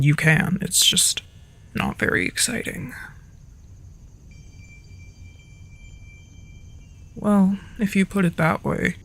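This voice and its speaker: A performer of unclear sex voicing a flirty, easily flustered dragon storyteller in an ASMR roleplay, softly and breathily, often slipping into whispers. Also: mid-range voice, creaky. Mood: flustered, shy.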